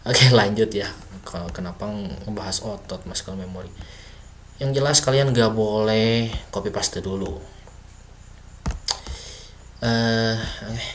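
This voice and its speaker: A man talks through a beginner coding lesson at his desk, slow and unhurried. He stops often to think aloud, using fillers and correcting himself, and a faint hiss sits under his voice. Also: lowish voice. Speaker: self-taught coding tutor. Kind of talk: casual screen-recorded coding lesson.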